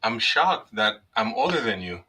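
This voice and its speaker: Forties Canadian man, very bright sharp tone, jokey guest. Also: on the low side, very animated, moderate pace, breathy edge. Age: 40s. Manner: joking, affectionate, loose. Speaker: guest on a live stream. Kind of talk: casual chat.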